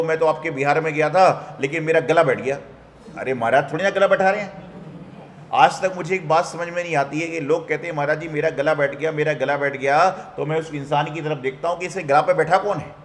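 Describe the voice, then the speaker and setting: A Jain monk giving a Hindi sermon, telling stories, asking rhetorical questions and mimicking what people say. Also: male voice, on the high side. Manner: storytelling with rhetorical questions and mock quotes. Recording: hall reverb, faint background noise.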